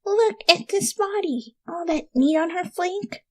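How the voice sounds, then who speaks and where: A woman's voice: extremely high pitch, unhurried, voice pushed up. A woman voicing a brash, hungry undead pegasus mare in a dramatic story reading, with a slangy, pushy, wheedling manner. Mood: hungry, musing.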